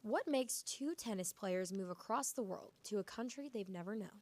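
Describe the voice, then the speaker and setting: Young woman doing a news voice-over, mid pitch, clicking noise behind. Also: expressive, moderate pace. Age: young adult. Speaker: reporter narrating.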